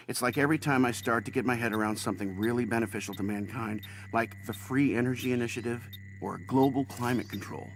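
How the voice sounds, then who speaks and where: A man as swaggering, mid-pitched superhero showman. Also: lightly acted. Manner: boastful, wry, conversational. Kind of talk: scripted speech in a game cutscene.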